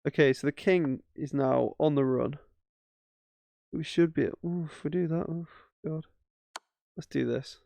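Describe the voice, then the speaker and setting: A man's voice: a little high, unhurried, vocal fry, long pauses, a little mellow, no echo. A man casually commentating his own chess games as he plays, thinking aloud with self-mocking asides. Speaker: chess streamer.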